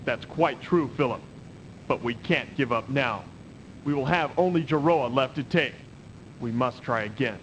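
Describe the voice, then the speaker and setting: A man acts as a proud warrior emperor in a video game cutscene. He speaks sternly and declaims like a ruler at war, in a medium male register. There is faint noise and rumble on the old recording. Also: moderate pace, a bit bright, close to an everyday voice. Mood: commanding, determined. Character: proud commanding emperor at war with his brother. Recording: noisy 1990s CD game audio, rumble.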